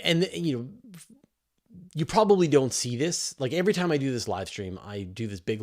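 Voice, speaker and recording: A man with a fairly low voice hosts a casual science Q&A livestream. He talks conversationally, leans hard on key words, and often restarts a thought to put it another way. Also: a little mellow. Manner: emphatic, conversational, often rephrasing mid-thought. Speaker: host of a science question show; science journalist. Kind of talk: casual livestream Q&A, reading questions and answering off the cuff.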